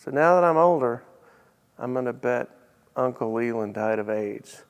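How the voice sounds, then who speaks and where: A man's voice: mid-range voice, lively intonation, moderate pace, smooth. A middle-aged man hosting a casual car vlog, ad-libbing in a blunt, joking, salty way. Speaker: vlog host and car dealer. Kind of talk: casual, ad-libbed walkaround vlog.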